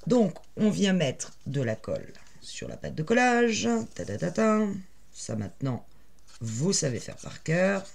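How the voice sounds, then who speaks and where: Raspy woman with a cold, casual tutorial host talking through her crafting as she works. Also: low voice, big pitch swings, a little slow. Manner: chatty, many "euh" fillers, explains while working. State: has a head cold.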